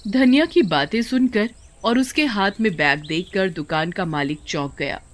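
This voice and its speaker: A woman's voice: medium pitch, lively intonation, moderate pace. A woman narrator reading a scripted moral tale as storytelling.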